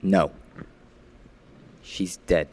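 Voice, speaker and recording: Low-voiced menacing male killer played by a man. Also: steady tone, full character voice. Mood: brooding. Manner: taunting, deliberate, bitter, sudden sharp commands. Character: menacing, brooding male murderer toying with his captive. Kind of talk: classic radio thriller acting.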